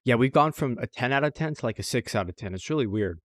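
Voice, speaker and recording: A man speaks as a podcast co-host in a dry, close-sounding space. His voice sits at an ordinary pitch, and he chats casually, repeating short phrases to press his point. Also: expressive, fast, no echo. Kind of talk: casual anime review chat.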